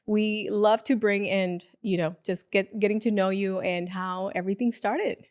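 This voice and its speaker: Woman, podcast host, mid-pitched voice, upbeat casual interviewing. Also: fairly even intonation, normal speed, darkish tone.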